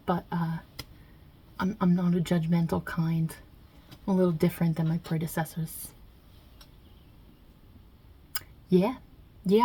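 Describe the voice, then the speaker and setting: Husky New York Italian mob-boss deity for ASMR roleplay, non-binary, low register, wry, hesitant, muttering asides played by a woman. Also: a little mellow. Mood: reassuring. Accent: put-on Italian-American New York.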